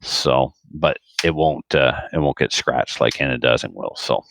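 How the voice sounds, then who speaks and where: Very deep-voiced man, podcast host. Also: a little slow, vocal fry, a bit bright, no room sound. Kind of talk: casual podcast chat.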